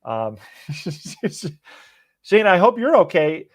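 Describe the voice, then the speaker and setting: A man, a meteorologist, casually answering viewers' questions during a live stream, sounding a little hoarse and sniffly as his voice starts to go. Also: high-pitched, sing-song, slow pace, no echo, clean studio sound. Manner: easygoing, chatty, answers viewers by name. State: losing his voice, a bit sniffly.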